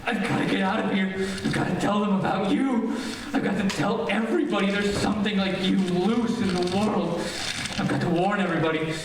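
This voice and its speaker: A man's voice: high-pitched, fairly even intonation, brisk, hardly any pauses, lightly acted. An actor playing a reckless, obsessed man in an old-time radio horror play, commanding, urgent and melodramatic. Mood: panicked, desperate. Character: reckless, obsessed man called Doc, driven to panic. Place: echoey room, live streamed performance. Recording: echoey, distant, noisy streamed stage audio.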